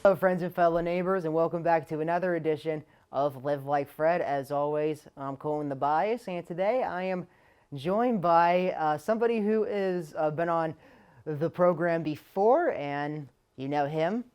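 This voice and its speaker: A man's voice: on the high side, normal speed, a little mellow, clean tone. A young American man reporting and interviewing in a presentational, announcer-like broadcast style. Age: young adult. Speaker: student sports and news reporter, interviewer.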